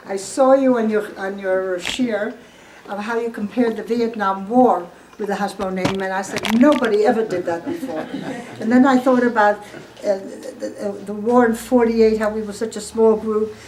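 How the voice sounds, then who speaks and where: A woman's voice: mid-range voice, fairly even intonation, normal speed. Elderly American woman, noisy live recording. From born in Poland, raised in the United States. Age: 80s. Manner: emphatic storyteller, asks listeners questions. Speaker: Holocaust survivor giving testimony. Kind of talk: informal spoken testimony to guests at home. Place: at home, room with gathered guests.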